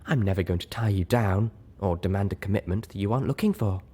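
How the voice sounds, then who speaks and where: A man voicing a gentle, refined female pony who speaks softly, properly and tactfully in a storybook reading. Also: low voice, fast talker, husky. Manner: soft-spoken, purring, proper. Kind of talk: audiobook character voice.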